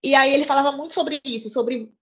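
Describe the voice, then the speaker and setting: A woman's voice: high voice, quick pace, a bit bright, lo-fi sound. A young woman, a law student talking informally to fellow students, chatty and earnest, with many fillers and self-corrections.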